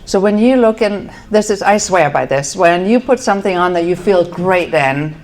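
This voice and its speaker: A middle-aged woman from Denmark speaks with a Danish accent, her voice sitting a little low. She presents to a live audience in an easy, conversational way, with fillers and quick self-corrections. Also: expressive, a little mellow. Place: event venue.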